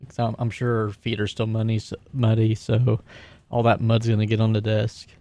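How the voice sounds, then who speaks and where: A man plays a tabletop roleplaying game, narrating his character's moves casually. He thinks aloud hesitantly, with a creaky voice and very dry sound. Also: fairly low pitch, completely flat delivery, normal speed, dark tone, no room sound.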